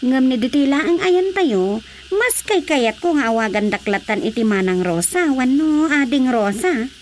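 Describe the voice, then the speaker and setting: Bossy ilocano wife, really high voice, plain-spoken, radio comedy drama, faint background noise. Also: female voice, animated, normal speed, darkish tone. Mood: modest, firm. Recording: faint noisy cricket-like ambience.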